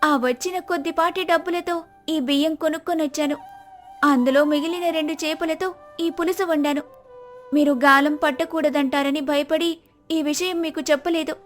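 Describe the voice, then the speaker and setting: A woman voicing a devoted, gentle but determined village wife in a moral story, with an earnest, pleading manner. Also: high-pitched, fairly even intonation, normal speed, soft background music, voice pushed up, close to an everyday voice. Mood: pleading, apologetic. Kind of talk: acted moral-story dialogue.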